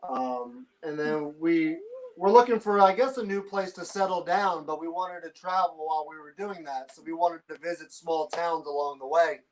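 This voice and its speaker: A man's voice: fairly high pitch, moderate pace, poor audio. A man who is a guest on a casual chat, answering in a hesitant way with many "um"s.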